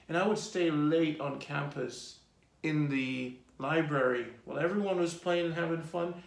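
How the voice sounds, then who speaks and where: A man in his forties, an artist telling his life story in a relaxed, rambling way during an interview. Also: mid-range voice, vocal fry. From Jamaica. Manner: rambling lists, relaxed and reflective. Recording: echoey room.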